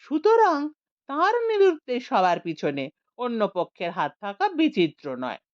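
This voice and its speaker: A woman's voice: a little high, wildly swooping pitch, muffled recording. A woman doing a pompous village police officer. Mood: formal. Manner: formal, deliberate.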